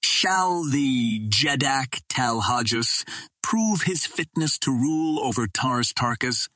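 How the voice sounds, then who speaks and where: A man's voice: mid-range voice, lively intonation, takes it slowly, sharp tone, dry recording, clean studio sound, voice pushed up, full character voice. A man voicing a cold, hard alien chieftain in an audiobook, speaking formally as he challenges authority. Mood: cold, stern.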